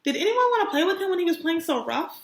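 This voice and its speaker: A woman kindergarten teacher reading aloud to young children in an expressive, encouraging way, stopping to ask them questions. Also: extremely high pitch, fast talker. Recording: roomy, echoey sound.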